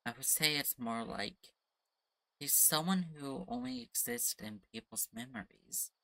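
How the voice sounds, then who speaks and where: A woman's voice: a bass voice, very animated, measured pace, creaky, a bit bright, no room sound, poor audio. A woman streamer giving casual live commentary while playing a game. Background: game music.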